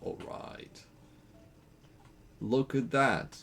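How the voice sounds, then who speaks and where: A man's voice: medium pitch, extremely slow, creaky, fairly bright tone. A young man from the Netherlands with a Dutch accent, chatting playfully and rambling while streaming a game, laughing often. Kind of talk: casual gaming stream chat.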